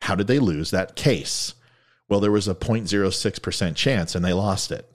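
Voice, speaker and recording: Low-voiced man reading facts with jokey asides. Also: moderate pace. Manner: jokey, stumbles over foreign names. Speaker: commentary video host.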